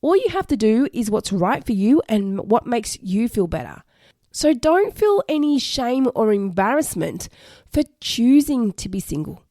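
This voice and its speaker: A woman hosting a solo advice podcast, her voice a little croaky from recent illness, earnest and reassuring as she repeats her key points. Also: on the high side, very animated, a little slow, warm tone, dry recording, clean studio sound. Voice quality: slightly hoarse. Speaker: podcast host.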